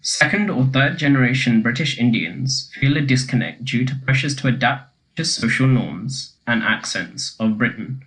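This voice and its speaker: A man's voice: mid-range voice, low-quality recording. Man reading a scripted podcast narration. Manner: measured, read aloud.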